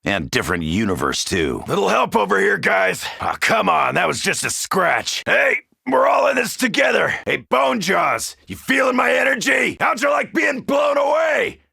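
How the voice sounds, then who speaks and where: Casting: cocky American grunt in a combat game, raspy, swaggering, with big animated swings in pitch. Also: male voice, moderate pace, few pauses, dry recording, raised voice, clean studio sound, heavily acted. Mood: weary, muttering, then fired-up, cocky. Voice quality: gravelly, rough. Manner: taunting, swaggering macho bravado. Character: brash, cocky American soldier gunning down zombies. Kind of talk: video game character combat barks.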